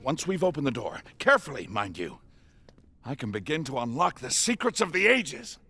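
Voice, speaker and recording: An actor playing an eager, headstrong older male scientist in an excitable, emphatic way for an animated game cutscene. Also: medium pitch, moderate pace. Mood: excited, absorbed. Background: prominent music.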